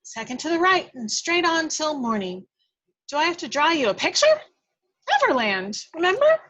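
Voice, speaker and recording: A woman's voice: sits high, big pitch swings, moderate pace, a bit bright, lo-fi sound, voice pushed up. A woman playing a tiny, feisty, bossy fairy with a scornful, theatrical delivery in a script read-through. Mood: coaxing, impatient. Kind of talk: hobby table read acting.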